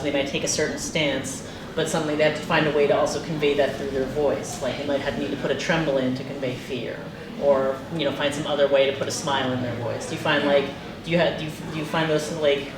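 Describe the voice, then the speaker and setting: An interviewer in live conversation, speaking in a halting, rambling way with repeated restarts. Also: medium pitch, little pitch movement. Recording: distant, echoey room with clear background noise.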